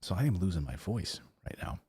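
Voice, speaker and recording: A man hosting a live investing show, chatting casually, rambling with lots of fillers and restarts, his voice going hoarse. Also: sits low, very animated, brisk, darkish tone. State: losing his voice. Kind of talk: casual live-stream chat, reading viewer comments.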